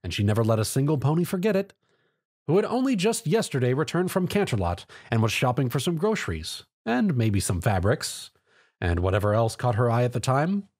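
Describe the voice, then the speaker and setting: Give me a man narrating an adventure story, husky, with animated pitch. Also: a little fast. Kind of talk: scripted narration, dramatic fan fiction reading.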